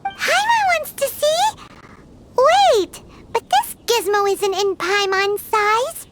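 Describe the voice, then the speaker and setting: A woman voicing a tiny floating girl companion who is chatty, excitable, nosy and dramatic, and who speaks of herself in the third person. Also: very high-pitched, slow pace. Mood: eager. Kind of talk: game voice acting. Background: game music.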